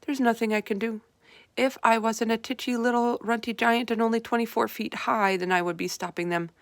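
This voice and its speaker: A woman's voice: on the high side, steady tone, fairly quick, no room sound, pitched above the performer's own voice, close to an everyday voice. A woman voicing a stubborn, kindly male giant from a children's storybook, folksy and ungrammatical with mangled words. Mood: resigned. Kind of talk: children's storybook read-aloud.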